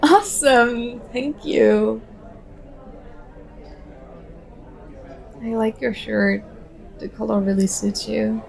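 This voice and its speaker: A woman's voice: fairly high pitch, fairly even intonation, slow pace, creaky, a little mellow. A woman playing a very shy, nervous young stranger in an ASMR roleplay, talking hesitantly and self-consciously as she overthinks. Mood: tentative, flattering. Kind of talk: ASMR roleplay, soft conversational acting.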